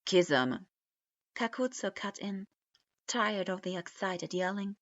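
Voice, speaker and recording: A woman reads a story aloud at a slow, measured pace, her voice in a middle range. The recording is close and dry, with a dull, lo-fi quality. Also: mid-range voice, fairly even intonation, a bit bright, dry recording. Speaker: reader. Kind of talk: reading fan fiction aloud.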